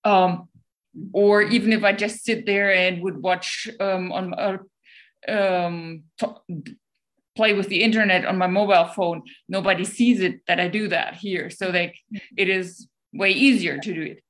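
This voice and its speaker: A woman speaking English with a German accent in a relaxed, unscripted talk. She pauses often and fills the gaps with ums, restarting her sentences as she searches for words. Her pitch sits in an ordinary middle range for a woman. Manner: hesitant, many ums and restarts. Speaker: guest speaker. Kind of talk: casual talk answering questions.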